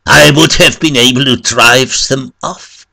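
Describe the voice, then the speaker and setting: A man voicing a cunning, proud, wounded Satan in a story, pleading in drawn-out, commanding phrases. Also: mid-range voice, creaky, pushed and bright, dry recording, voice pushed up, full character voice. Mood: urgent, scornful. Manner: slow, drawn-out, commanding pleas.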